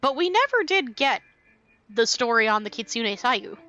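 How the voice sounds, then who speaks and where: Woman streamer, casual game commentary, bright voice, slightly high, faint music behind. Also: very animated, moderate pace, highs cut off. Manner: chatty, reads chat aloud.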